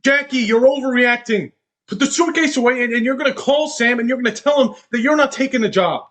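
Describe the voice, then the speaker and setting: A man's voice: extremely high pitch, brisk, a bit bright. A young man acting in a stage play as a controlling, insecure husband who belittles his wife. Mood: angry, incredulous. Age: young adult. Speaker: student actor.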